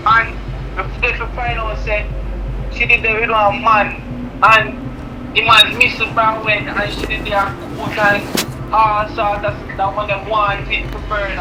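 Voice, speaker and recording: A streetwise young Jamaican man, voiced by a man, speaking in an urgent, hushed warning. His voice is strikingly high, and clear noise runs behind it. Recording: noisy background.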